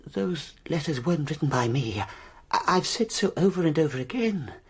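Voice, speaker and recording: Husky, broken, stammering veteran. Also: male voice, a little high, lively intonation, moderate pace, exaggerated voice. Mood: plaintive, self-pitying. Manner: hesitant, stammering, plaintive. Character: broken, nervous war veteran, down on his luck. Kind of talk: radio play acting.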